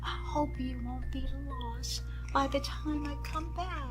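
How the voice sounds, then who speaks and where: A woman's voice: sits high, slow, music in the background, voice pushed up. A woman reading a storybook as an eager, bookish schoolboy who is wistful and easily dismayed. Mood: wistful whisper. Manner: exclaiming, wistful.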